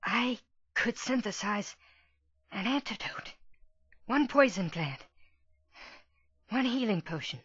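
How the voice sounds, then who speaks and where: A woman playing a gentle, injured young female pegasus pony in a dramatic story reading, speaking softly and weakly with labored breath. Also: fairly high pitch, expressive, slow pace, long pauses, husky, no echo, lo-fi sound, exaggerated voice. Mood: weak, apologetic. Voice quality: soft, weak voice.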